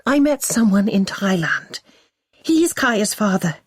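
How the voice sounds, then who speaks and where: A woman's voice: mid-range voice, very animated, a little slow, husky, no room sound, studio quality, pitched above the performer's own voice. A woman voicing a terrified, pleading young woman. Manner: breathless, pleading.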